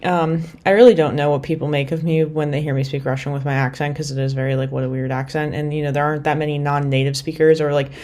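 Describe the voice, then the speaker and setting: A woman's voice: low voice, little pitch movement, fast, hardly any pauses, darkish tone. A young woman from the United States rambling casually in a travel vlog, full of fillers like "like" and "you know". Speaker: vlogger.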